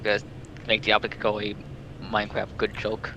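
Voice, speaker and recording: A man's voice: fairly low pitch, completely flat delivery, normal speed, sharp tone. A man joking casually, recorded on a muffled mic with background noise.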